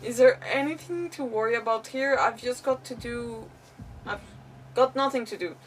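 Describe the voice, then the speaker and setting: A woman's voice: a little high, moderate pace, far from the mic, poor audio. A woman game streamer giving casual live commentary, exclamatory and often stumbling as she reads aloud. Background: game music.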